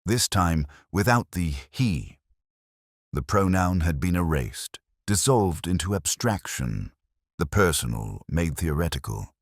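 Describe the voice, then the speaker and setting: A man with an exceptionally deep voice narrates a detective story in the first person. His delivery is measured and brooding, with a literary turn and a lively, varied melody. The sound is very dry, with no room around it. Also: no room sound.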